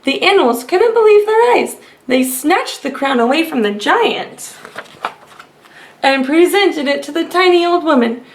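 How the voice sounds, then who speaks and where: A woman reading aloud to children as a storyteller, expressive and asking her listeners questions. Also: sits high, measured pace.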